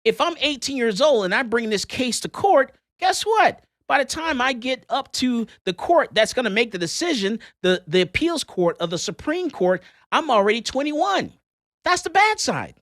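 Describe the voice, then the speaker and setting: A middle-aged man hosts a talk show and comments on the news. His pitch swings widely, and he drives his points home by repeating them. The sound is close and dry. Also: moderate pace, no echo. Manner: animated, emphatic, repeats points.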